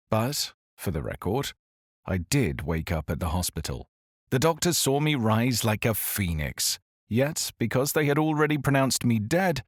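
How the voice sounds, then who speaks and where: A man's voice: on the low side, dry recording, clean studio sound. A man playing a grave, formal exiled doctor. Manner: measured, formal confessional address. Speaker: narrator character. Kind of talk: scripted audio drama monologue.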